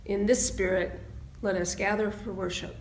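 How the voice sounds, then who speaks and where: A woman's voice: mid-range voice, fairly even intonation, moderate pace. A woman in her sixties, a minister, reading opening words of worship solemnly. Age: 60s. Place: church sanctuary. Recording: roomy echo, faint background noise.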